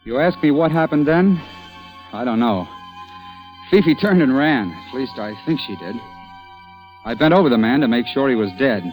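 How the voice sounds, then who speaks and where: A man's voice: medium pitch, animated, moderate pace, pauses often, darkish tone, close to an everyday voice, low-quality recording. Man acting a harried man in radio suspense, music behind. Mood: haunted, narrating. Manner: exasperated, dramatic. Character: harried, stubborn young man narrating his nightmare night. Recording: vintage radio drama with music.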